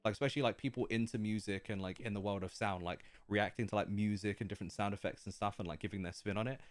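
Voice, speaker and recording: A man's voice: fairly low pitch, fairly even intonation, quick pace, dry recording. A man hosting a casual livestream, eager and chatty, laughing often as he talks with his guest. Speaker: livestream host.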